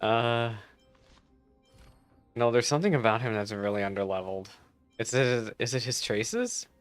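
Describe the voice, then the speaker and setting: Male game streamer: medium pitch, chatty, teasing commentary, faint music behind. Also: moderate pace, dry recording. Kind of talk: casual live playthrough reaction and commentary.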